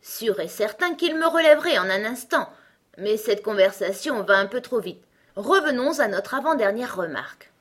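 A woman's voice: on the high side, animated, normal speed, voice pushed up. A woman voicing a pompous, irritable male talking egg in a storybook, with a haughty, emphatic delivery. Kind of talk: storybook character acting.

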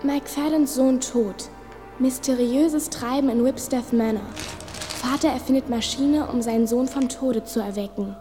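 A woman's voice: high voice, unhurried, dark tone. A woman playing a curious, frank, slightly sarcastic young girl in a German audio drama. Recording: reverberant hall, music behind.

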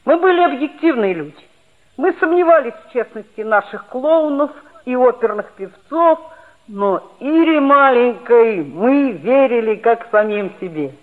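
An actress playing an earnest, mischievous schoolboy who narrates a radio play in a mock-serious, expressive way. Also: female voice, on the high side, unhurried. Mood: wry storytelling. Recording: tinny vintage 1960s radio recording.

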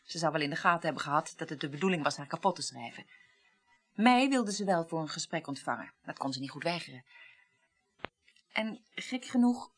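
A woman's voice: deep voice, expressive, fairly quick, breathy edge. An actress playing a detached, wry female former reporter in a radio play, speaking articulately and dryly. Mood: matter-of-fact. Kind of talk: 1980s Dutch radio play acting.

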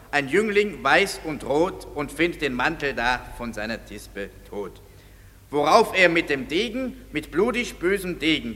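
A long hall reverb surrounds an earnest amateur presenter of a clumsy play. His voice is forceful and fairly high, pompously declaiming rhymes for a radio drama with halting emphasis.

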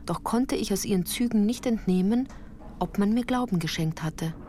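A woman's voice: medium pitch, normal speed, warm tone, a little hiss or hum. A woman reading scripted first-person literary narration for a radio play in a composed, articulate storytelling manner.

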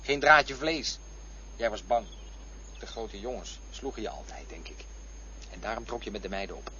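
A man's voice: on the low side, moderate pace, noisy background, close to an everyday voice. An actor playing a boastful, defensive man in a radio play, insistent and taunting as he repeats himself. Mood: scornful, taunting. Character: boastful, defensive man arguing over his boyhood memories. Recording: dull lo-fi radio play recording.

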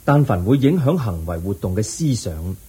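A serene, patient sage, voiced by a man explains his teachings in a low, husky voice. The dark, mellow tone still carries a lively pitch for a radio play. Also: normal speed. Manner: calm, patient, instructive. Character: calm, wise male Buddha teaching. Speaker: narrator and lead actor. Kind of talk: radio drama acting.

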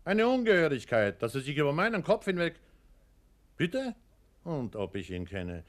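Radio play role: officious male official, self-righteous, smoothly formal, highly animated delivery. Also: normal speed. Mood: indignant, servile. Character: officious male bureaucrat chief, servile upward, patronizing downward.

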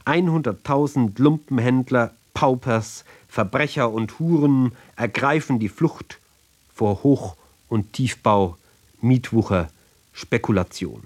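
Male reader, medium pitch, very unhurried pace, declamatory radio literature reading, clipped phrasing, sardonic emphasis. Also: darkish tone, husky.

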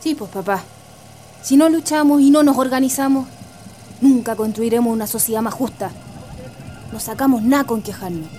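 A woman's voice: a little high, normal speed, pauses often, warm tone, a little hiss or hum, natural voice. A woman playing an idealistic, affectionate schoolgirl activist with a Chilean accent in a radio drama. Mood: determined. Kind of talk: amateur radio drama acting.

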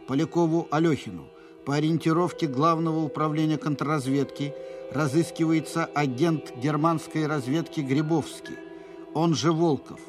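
An actor playing a formal military reader of urgent dispatches in a radio drama, official, precise and impersonal. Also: male voice, fairly high pitch, natural voice. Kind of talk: scripted document reading in radio drama. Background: faint music.

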